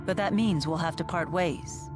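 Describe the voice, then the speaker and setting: Game role: stern, regal young heroine played by a woman, fairly low voice, decisive, unsentimental delivery. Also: moderate pace, natural voice. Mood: cool, resolute. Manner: formal, decisive, detached. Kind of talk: English game dub acting. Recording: loud game music behind.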